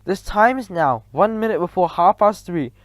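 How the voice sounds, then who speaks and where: A cruel witch queen barks orders and shrieks in a high, swooping voice, as a man reading aloud puts her on. The reading sounds dry and close, with no room around it. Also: animated, moderate pace, no echo. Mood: commanding. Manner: theatrical, barking and screeching. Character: commanding cruel female witch leader.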